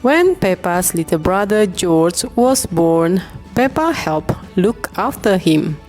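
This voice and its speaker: Slow, lively woman reading a bedtime story. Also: lowish voice, faint music behind. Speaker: storybook reader.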